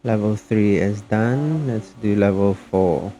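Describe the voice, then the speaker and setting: A man giving casual, somewhat halting gameplay commentary as he streams. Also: fairly low pitch, dark tone, low-quality recording. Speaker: game streamer. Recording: faint background noise.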